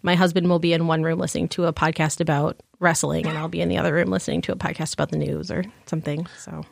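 A woman's voice: low voice, little pitch movement, fast, gravelly, dry recording. A woman from Michigan, a guest in a casual interview, talking in a chatty way with lots of "like" and frequent laughs. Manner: chatty, laughs often, many "like" fillers.

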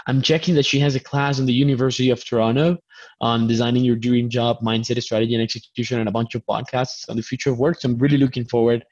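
A man with a Colombian accent hosts a loose online chat, his voice at an ordinary middle pitch. He talks chattily, trips over his words and restarts them, and pokes fun at himself. The video call audio sounds dull and lo-fi. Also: flat delivery, quick pace, darkish tone. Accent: Colombian Spanish. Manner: chatty, stammering restarts, self-deprecating. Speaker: host.

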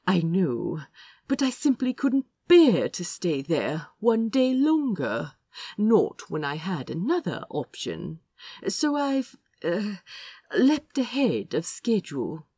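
A woman's voice: on the low side, huge pitch swings, takes it slowly, warm tone, breathy edge, dry recording, studio quality, heavily acted. A woman voicing a fussy, well-mannered prince who talks in a prim, nervous, wordy rush, as in an audiobook. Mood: flustered, excited.

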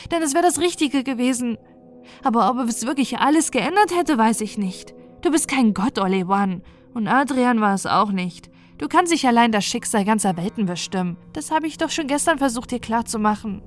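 A woman's voice: lively intonation, moderate pace, faint music behind, lightly acted. Woman voicing a firm, earnest companion. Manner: raised pitch, insistent and lecturing.

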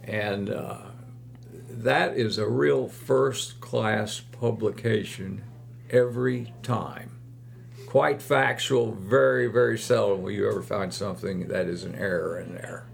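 An older man tells his stories slowly, drifting through hesitant fillers. His voice is heavily gravelly and full of fry. A faint hum sits under the recording. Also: fairly low pitch. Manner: slow, rambling storytelling with hesitant fillers. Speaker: guest, longtime club runner. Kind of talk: casual reminiscing chat.

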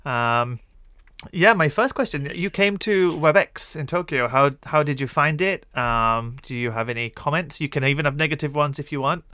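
Bright-voiced Yorkshire man hosting. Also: a little high, moderate pace, smooth, no echo, studio quality. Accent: Northern English (Yorkshire). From northern England. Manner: friendly, chatty, laughs easily. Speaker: podcast host. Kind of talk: casual interview chat.

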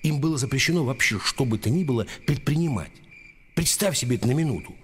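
An actor playing a stern, small, thin senior official of noble rank in a radio drama, brusque and authoritative. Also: male voice, medium pitch, animated, fast talker, a little hiss or hum, natural voice. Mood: firm, admonishing.

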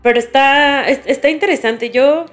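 A Mexican woman with a fairly high voice chats as a podcast co-host over background music. Her delivery is informal and conversational, like casual talk between two people. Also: normal speed. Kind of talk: casual chat. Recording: music under the voice.

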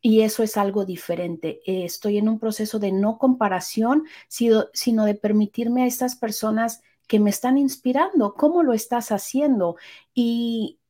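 A woman's voice: a little high, moderate pace, warm tone. A woman co-hosting a podcast, who speaks in an encouraging, articulate, coaching way. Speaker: podcast co-host. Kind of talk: casual podcast conversation.

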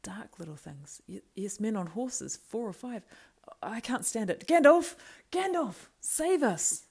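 Storybook hobbit lad played by a woman: frightened grumbler, plaintive and excitable, low register with a creaky edge. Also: normal speed. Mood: terrified.

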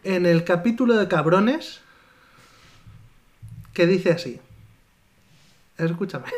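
Podcast co-host, a man, high voice, chatty and quick to laugh. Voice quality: higher voice than his co-host. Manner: laughs often, reads listener comments aloud. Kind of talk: casual chat.

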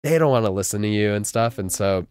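A man's voice: fairly low pitch, fast talker, darkish tone, smooth, no echo, clean studio sound. A man chatting casually in a laid-back, slangy way as an interview guest. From California. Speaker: guest, music teacher. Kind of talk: casual chat.

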